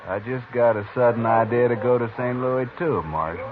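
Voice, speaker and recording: A man's voice: mid-range voice, moderate pace, a crowd in the background, natural voice. An actor playing an angry, vengeful townsman in a 1950s radio western, blunt and combative. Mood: angry, menacing. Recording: noisy, tinny 1950s radio recording.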